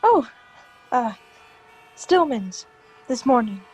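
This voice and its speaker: A woman's voice: on the high side, big pitch swings, slow, a little mellow, husky, soft background music, exaggerated voice, low-quality recording. A woman playing a nervous, polite, evasive character who hides her dread in an old-time radio drama. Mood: hesitant, guarded. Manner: hesitant, uneasy, polite.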